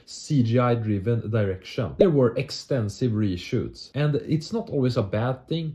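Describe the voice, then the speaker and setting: A young man with a Swedish accent talks through a scripted film commentary, his voice mellow and dark at a middling pitch. He keeps slipping out of the script into self-mocking jokes and laughs at his own remarks. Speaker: movie commentary YouTuber. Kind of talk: scripted video commentary with ad-libbed asides.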